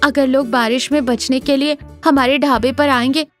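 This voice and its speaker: A woman voicing a sharp-tongued village wife in a cartoon story, scolding and exasperated but secretly loving. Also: high voice, fairly even intonation, moderate pace, soft background music, close to an everyday voice. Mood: worried.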